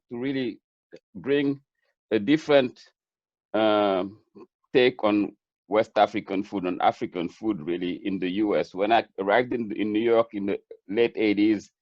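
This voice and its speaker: A middle-aged man with a Senegalese accent speaks in a fairly low voice. He answers conversationally as a guest, earnest and reflective, often repeating words as he builds a point. The sound is dry and somewhat dull, like lo-fi webinar audio. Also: darkish tone, no room sound. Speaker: webinar guest, chef and food entrepreneur.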